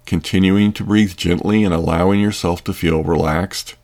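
A man narrating a scripted sleep meditation in a calm, soothing, gentle manner. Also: low voice, normal speed.

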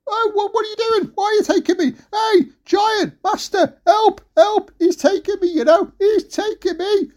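Storybook talking harp, played by a woman: very high, alarmed, indignant tattletale. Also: normal speed, few pauses, a little mellow. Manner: indignant, yelping calls for help. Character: golden harp, shrill, alarmed, tattling on a thief.

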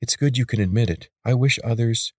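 A man's voice: medium pitch, a little fast, dry recording, studio quality, voice pushed up, lightly acted. A man voicing an angry, suspicious young woman guard who speaks sharply and accusingly. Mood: hostile.

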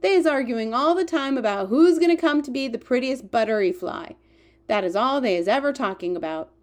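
A woman's voice: fairly high pitch, lively intonation, fairly quick, voice pushed up, close to an everyday voice. A woman voicing a big-hearted male giant in a children's story, earnest and proud, full of wonder, with ungrammatical talk and quaint invented words. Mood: delighted.

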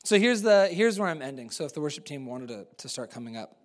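Animated man preaching with American accent. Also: a little high, quick pace. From New Zealand. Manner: conversational, animated preaching with fillers. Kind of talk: live sermon. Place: church service.

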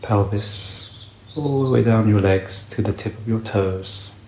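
Slow, low-voiced man guiding meditation. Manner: gentle, unhurried, with long pauses between short phrases. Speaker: meditation guide. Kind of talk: guided meditation, calm spoken instruction. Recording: roomy echo, faint background noise.

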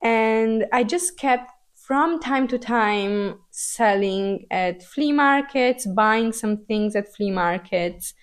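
A woman's voice: a little high, takes it slowly, clean tone. A young woman from Romania hosts a casual, personal podcast monologue, thinking aloud in a conversational way as a Romanian speaker. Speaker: podcast host.

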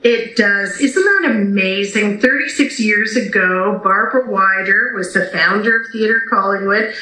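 A woman answers interview questions conversationally in a bright, forward voice. Her pitch is average, and the video-call sound is noticeably roomy. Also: a little slow. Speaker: theatre company executive director, interview guest. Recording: echoey room over video call.

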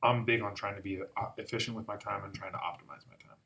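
A man's voice: lowish voice, steady tone, quick pace, gravelly, bright, forceful tone. A young man who works as a life coach, talking earnestly and emphatically in a casual chat.

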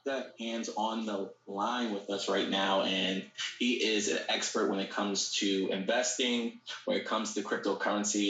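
A man's voice: lowish voice, a bit bright. A man co-hosting a podcast, enthusiastically introducing a guest and asking about his background. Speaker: podcast co-host. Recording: echoey, distant, faint hum.

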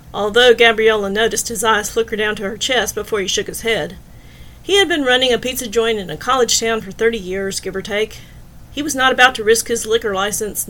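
Female audiobook narrator, higher-pitched voice, reading a novel in scripted storytelling style. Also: fairly bright tone, rough recording.

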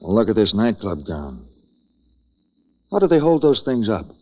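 Gruff American cop, very low voice, sarcastic, accusing, old hardboiled radio drama, muffled sound. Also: male voice, big pitch swings, normal speed. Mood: skeptical, needling. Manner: needling, sardonic. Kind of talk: 1940s hardboiled radio acting. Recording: muffled lo-fi 1940s radio recording.

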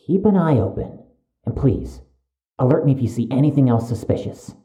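An actor playing a suspicious young medical student in an audio drama, speaking with precise, urgent, stiffly formal wording. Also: male voice, mid-range voice, lively intonation, moderate pace, dark tone, lightly acted. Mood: secretive, worried.

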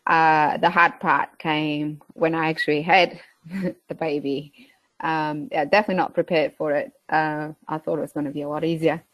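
Woman in her thirties, Portuguese accent, lowish pitch, dry close sound, chatty with lots of ums. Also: level tone, moderate pace, dry recording, rough recording. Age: 30s. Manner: hesitant, many fillers, laughs between phrases. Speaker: guest, beef farmer. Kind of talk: casual interview chat.

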